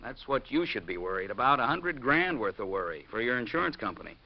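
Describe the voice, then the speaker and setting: An actor playing a gruff, chummy police detective in 1950s American radio drama, colloquial and teasing. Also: male voice, mid-range voice, sing-song, fast talker. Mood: teasing, blunt. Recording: muffled old radio recording with noise.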